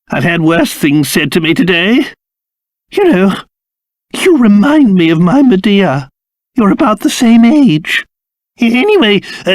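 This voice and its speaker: A man's voice: high voice, dry recording, clean studio sound, full character voice. A man voicing a fussy, haughty old cursed king, a creature and doting father in a video game, with a pompous, theatrical, exclamatory manner. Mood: curious, gentle. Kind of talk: video game character voice acting.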